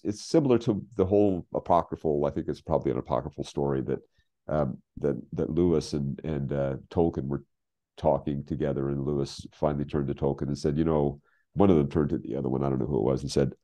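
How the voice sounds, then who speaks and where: A man in his sixties talking reflectively as an interview guest, halting and repeating phrases, on a video call. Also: a bass voice, warm tone, muffled recording. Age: 60s. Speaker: guest, writer. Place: home room with bookshelves, on a video call.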